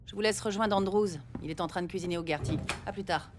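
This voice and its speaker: Casting: woman playing authoritative police boss, mid-pitched, formal and commanding, audio series with faint ambience. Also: quick pace, noisy background, natural voice. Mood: cool, commanding. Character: authoritative female police chief, formal and shrewd. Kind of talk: dramatic audio series acting.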